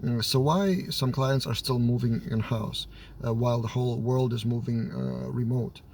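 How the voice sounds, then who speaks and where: A man's voice: mid-range voice, moderate pace, a little mellow, a little hiss or hum. Lithuanian-accented man, slightly cold-stuffed voice. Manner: thinks aloud, hesitant, many filler sounds. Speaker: software developer and team lead. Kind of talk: casual to-camera talk.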